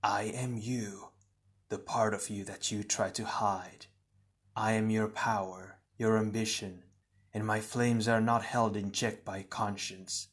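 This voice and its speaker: A menacing shadow creature from a fantasy storybook, acted by a male reader. The voice is low and hushed, hissing and crackling. It taunts slowly, with seductive malice. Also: level tone. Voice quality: breathy, hissing, crackling whisper. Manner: slow, mocking, seductive menace. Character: sinister shadow double of a fire warrior, taunting, menacing.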